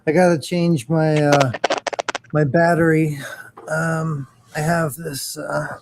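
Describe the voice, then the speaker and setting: A man's voice: fairly high pitch, level tone, slow, creaky, heavy background noise. A man co-hosting a casual geeky podcast, giving blunt opinions with plenty of hesitation. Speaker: co-host. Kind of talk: casual chat.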